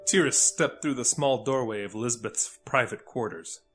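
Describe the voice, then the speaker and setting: Man, scripted story narration, mid-pitched voice, faint music bed. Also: moderate pace, a bit bright, slightly rough. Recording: music under the voice.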